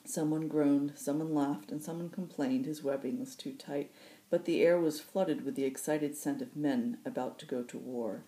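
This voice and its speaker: Female storyteller, markedly low register, mellow tone, reading novel excerpts as host. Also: fairly even intonation, moderate pace, rough recording. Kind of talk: audiobook-style fiction narration.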